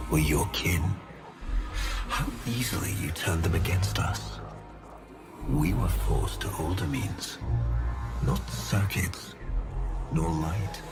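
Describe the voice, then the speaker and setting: An actor playing a haughty, bitter villain and schemer in a video game, speaking in a theatrical, deliberate, menacing manner. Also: male voice, low voice. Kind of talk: dramatic game villain monologue. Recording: loud music behind the voice.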